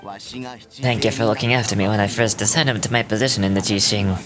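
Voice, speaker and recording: An actor playing a modest, genial elderly official with a gentle, unhurried way of speaking. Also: male voice, on the low side, fairly even intonation, natural voice. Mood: warm. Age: older. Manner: gentle, unhurried, self-effacing. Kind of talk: game voice acting. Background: game music.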